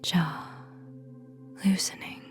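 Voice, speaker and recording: A woman guiding a whispered ASMR sleep meditation in a soothing, hypnotic manner, speaking in short phrases with long pauses. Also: faint music behind.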